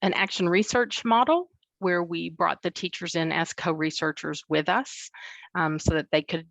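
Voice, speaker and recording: A woman researcher giving an academic presentation at a book launch, speaking in a measured way with many ums and uhs, over a video call. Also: lowish voice, steady tone, vocal fry, a bit bright, dry recording. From not from New Zealand. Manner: measured, with frequent um and uh fillers. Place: New Zealand, speaking remotely.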